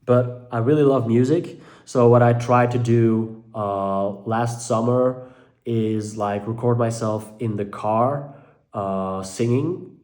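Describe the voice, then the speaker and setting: A young man from Belgium with a Dutch-speaking accent talks casually to his viewers from his living room. He is hesitant, fills gaps with "uh" and "um," and often restarts his sentences, with a pitch that sits in a middle range for a man. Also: fairly even intonation, takes it slowly, a little mellow. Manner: hesitant, many fillers and restarts. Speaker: mental health content creator. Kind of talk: casual talk to camera.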